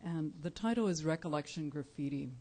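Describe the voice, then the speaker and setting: A woman from Cuba, a Spanish speaker, giving a live poetry reading, measured and deliberate with soft pauses. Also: deep voice, lively intonation. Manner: measured, deliberate, pausing often with soft fillers. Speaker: featured poet. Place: indoor live reading event.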